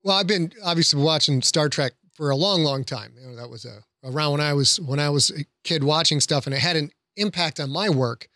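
A man's voice: a little high, fairly quick, hardly any pauses, bright, forceful tone, dry recording, studio quality. A man, an author talking as a guest in a casual discussion and explaining his thoughts.

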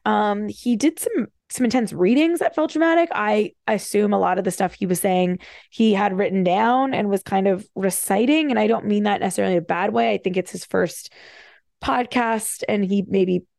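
A woman hosting a solo podcast, talking fast and casually with wry, animated asides. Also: medium pitch, vocal fry, dry recording, studio quality. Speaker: podcast host. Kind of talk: casual solo commentary, reading bios with asides.

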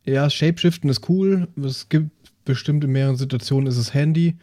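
Mellow-voiced man, very dry sound. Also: on the high side, brisk, dry recording. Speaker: tabletop role-playing show player. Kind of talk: casual chat.

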